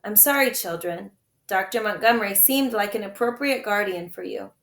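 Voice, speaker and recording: Storybook gentleman, fussy and reasonable, politely firm a woman reading the part, echoey room. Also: mid-range voice, very animated, normal speed. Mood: puzzled. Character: fussy, reasonable male family guardian, mildly stern.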